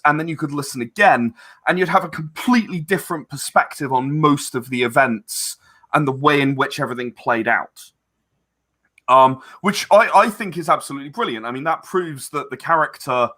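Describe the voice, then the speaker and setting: A man talks as a guest in an interview about his own creative work. His voice sits a little high and has a bright, forward edge. He explains in an effusive, emphatic, wandering way. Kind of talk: enthusiastic interview talk about own project.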